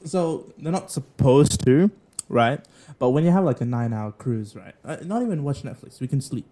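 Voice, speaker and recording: A man's voice: medium pitch, lively intonation, normal speed, warm tone. A young man, a trainee pilot, chatting casually on a podcast and laughing easily. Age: young adult.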